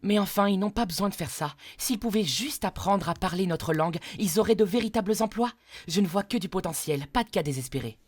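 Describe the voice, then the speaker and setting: A man voicing an earnest, encouraging young female teacher in an animated comedy dub, eager and patient. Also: high-pitched, brisk, dry recording. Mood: hopeful, eager.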